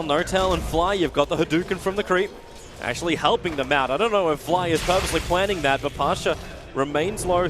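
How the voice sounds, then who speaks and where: A man with an Australian accent giving excitable play-by-play commentary on an esports match as caster. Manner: excitable, rising pitch in big moments.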